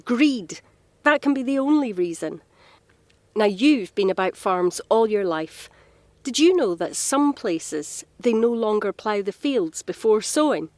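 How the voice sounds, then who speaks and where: A woman's voice: mid-range voice, expressive, measured pace, a little mellow, no room sound, lightly acted. Earnest woman played by an actress, dry recording. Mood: grave, emphatic. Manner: earnest, explanatory. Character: frank, caring working woman, earnest and well-informed. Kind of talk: naturalistic audio drama dialogue.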